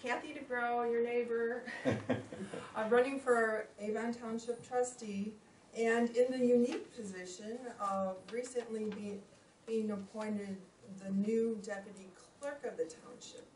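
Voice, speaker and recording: A woman's voice: a little high, fairly even intonation, unhurried, a little mellow. A woman briefly introducing herself as a candidate at a community forum in a cheerful, friendly way. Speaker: trustee candidate. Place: community meeting room. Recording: roomy, distant, faint room noise.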